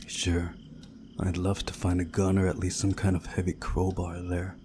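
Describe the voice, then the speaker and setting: Deep voiced guy narrating a visual novel, measured storytelling, noticeable noise behind him. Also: completely flat delivery. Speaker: video game playthrough narrator.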